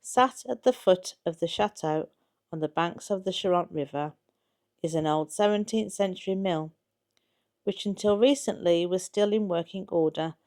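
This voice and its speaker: A woman's voice: on the low side, a little mellow. A woman reading a scripted history narration aloud in a factual, storytelling manner. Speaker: channel co-host narrating a history video.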